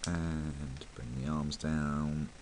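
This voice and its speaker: A man with a croaky voice from hay fever, chatting casually and rambling a little as he reviews a toy. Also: a bass voice, flat delivery, extremely slow, background noise. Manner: chatty, rambling, full of ums.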